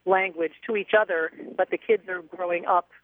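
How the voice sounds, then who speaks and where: A woman's voice: on the low side, fairly even intonation, moderate pace, no echo. A woman singer telling stories as a guest in a radio interview, chatty and reflective, heard over a phone line.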